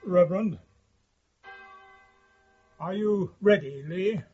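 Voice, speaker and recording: A prison official presiding over an execution in a radio drama. His voice is high and breathy, his orders formal and terse. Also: slow, a little mellow, muffled recording, soft background music, exaggerated voice. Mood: formal, tense. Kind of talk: broadcast radio drama acting.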